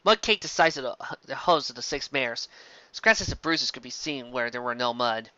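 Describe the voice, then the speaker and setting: A man reading fan fiction aloud as an amateur narrator, expressive and occasionally stumbling over words. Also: mid-range voice, normal speed, clean tone, no echo, muffled recording.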